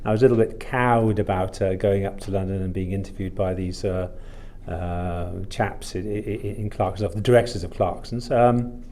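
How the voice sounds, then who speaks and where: A man's voice: low-pitched, steady tone, normal speed, few pauses, a little mellow. A man in his sixties from Wales, telling stories conversationally as a podcast guest, with courteous, old-fashioned phrasing. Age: 60s. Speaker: podcast guest, shipping consultant. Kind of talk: conversational storytelling.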